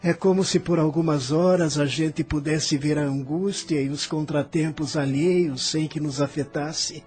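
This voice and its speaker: A man acts out a bewildered, bitter soul in an audio drama, with a Brazilian accent. His slightly raised voice moves slowly and theatrically through musing philosophy. Soft music plays behind him. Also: on the high side, steady tone, breathy edge. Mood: musing, wry.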